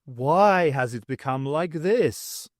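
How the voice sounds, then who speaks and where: Reader doing a big jolly alien overlord, posh english, fruity, slow, dry sound. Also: male voice, on the high side, lively intonation, no echo. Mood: jovial. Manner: fruity, jovial, pompous. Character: large jovial alien warlord, fruity and pompous.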